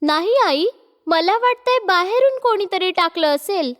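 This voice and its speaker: A woman's voice: very high-pitched, animated, measured pace, big-room sound. A woman voicing a young, scheming daughter-in-law in a cartoon story. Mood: innocent.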